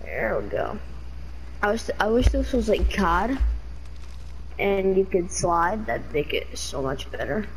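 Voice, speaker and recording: A young woman chatting casually while playing an online game, excitable and chatty, her voice leaping into high yelps when danger comes. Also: slow pace, pauses often, rough recording. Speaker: gamer streaming her play. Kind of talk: casual gaming chat. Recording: game audio noise behind.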